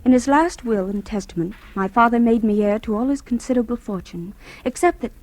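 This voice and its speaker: An actress playing an anxious young heiress in a halting, dramatic old radio-drama style. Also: female voice, on the high side, darkish tone, no room sound, soft background music, natural voice. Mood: brooding narration. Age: young adult. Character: high-strung, anxious young heiress, aged twenty-two. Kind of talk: vintage radio drama acting and first-person narration. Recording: noisy, crackly old radio recording.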